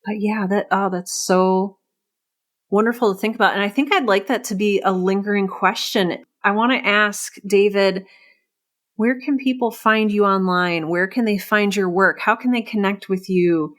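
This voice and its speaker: A woman's voice: mid-range voice, moderate pace, fairly bright tone. A woman hosting a conversational interview, speaking in a reflective way and posing open questions. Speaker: podcast host and interviewer.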